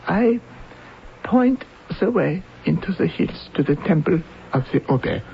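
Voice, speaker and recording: An actress playing a terrified, reluctant informant in a radio drama, halting and whimpering. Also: female voice, fairly low pitch, lively intonation, creaky. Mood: whimpering, doomed. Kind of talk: vintage radio drama acting. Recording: dull, noisy old radio recording.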